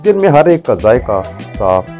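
A man delivers scripted narration as a narrator would. His pitch moves animatedly up and down around a middling register, and music plays behind him. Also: sing-song, moderate pace, darkish tone. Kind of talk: scripted narration with recited Urdu poetry.

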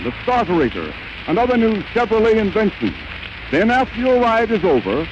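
Male vintage radio pitchman, high voice, formal enunciation, muffled recording. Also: background noise. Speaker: radio announcer. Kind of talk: scripted 1930s radio advertising.

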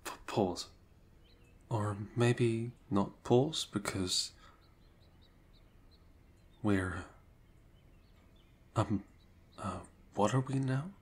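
A young man speaking softly and hesitantly in an improvised ASMR roleplay, often dropping to a whisper. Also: fairly low pitch, extremely slow, long pauses, slightly rough.

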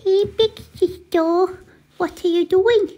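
Casting: woman as six-year-old little brother, very high baby talk, bratty and whiny, soft-edged tone. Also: steady tone, slow pace, dull audio. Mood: playful pleading. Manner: babyish talk, whiny and demanding.

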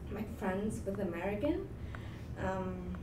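A young woman from Vietnam, a Vietnamese native speaker, sharing her story casually in a group with many pauses and ums. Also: mid-range voice, lively intonation. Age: young adult. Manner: hesitant, many ums and restarts. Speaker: student. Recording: roomy and distant with background noise.

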